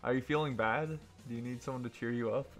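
A man's voice: mid-range voice, fairly even intonation, fast, fairly bright tone, faint music behind. A man giving casual, jokey, teasing commentary while playing a video game with friends. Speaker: player and host of an online game video. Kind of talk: casual gaming commentary and banter.

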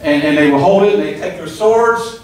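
A man in his sixties preaching a sermon in a small church hall into a handheld mic. He talks in a folksy, halting way, repeating words and asking "Amen?" often. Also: on the high side. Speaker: pastor. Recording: echoey room, mic picked up from a distance.

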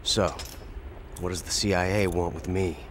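An actor playing a cool, stoic young ninja hero in a video game, terse and understated. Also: male voice, sits low, steady tone, normal speed, lightly acted. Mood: cool, dry. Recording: noisy background under the voice.

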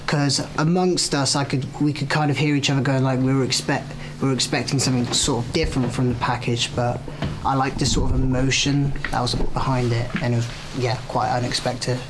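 A man's voice: medium pitch, background noise. A young man, a student, giving hesitant feedback with many fillers.

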